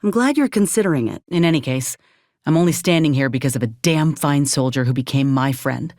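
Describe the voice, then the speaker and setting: An actress playing a gruff, loyal sci-fi soldier and medic in a video game, speaking in a folksy, plainspoken, measured way. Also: female voice, low voice, expressive, a little mellow, dry recording, clean studio sound. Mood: reflective. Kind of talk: video game companion dialogue.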